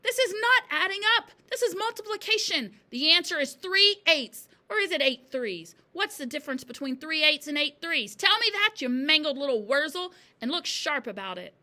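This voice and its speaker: A woman voicing a huge, furious bullying headmistress from a storybook, snapping and shouting with contempt. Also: high-pitched, lively intonation, fairly quick, voice pushed up, heavily acted. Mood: exasperated, yelling.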